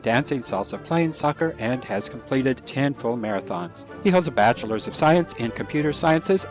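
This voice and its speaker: A man hosting an interview podcast, upbeat and formal as he reads his introductions. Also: medium pitch. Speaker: podcast host.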